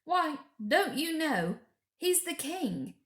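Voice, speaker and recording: A woman's voice: sits high, very animated, slow pace, pitched above the performer's own voice, full character voice. Lady doing mr beaver type storybook critter, male, earnest n folksy, really emphatic, echoey room. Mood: emphatic, reverent. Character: gruff, earnest, reverent adult male talking beaver. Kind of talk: children's storybook character voice.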